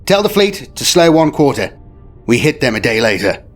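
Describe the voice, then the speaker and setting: A man's voice: medium pitch, sing-song, normal speed, pitched above the performer's own voice. A man voicing a shrewd veteran fleet admiral in an audio war story, with clipped, declamatory military phrasing. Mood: shrewd, resolute. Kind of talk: dramatic audio story character. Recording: music behind the voice. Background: music.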